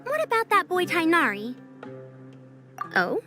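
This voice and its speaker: A bright, high voice of a proud female veteran scholar. She is self-assured and a little haughty, with animated intonation, speaking in a video game over faint music. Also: measured pace, heavily acted. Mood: curious, brisk. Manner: self-assured, slightly haughty, old-fashioned turns of phrase.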